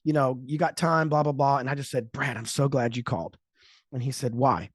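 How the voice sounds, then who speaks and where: A man in his mid fifties telling a story in casual podcast chat, animated, acting out quotes and laughing often. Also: medium pitch, brisk, breathy edge, no room sound, clean studio sound. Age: 50s. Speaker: podcast host.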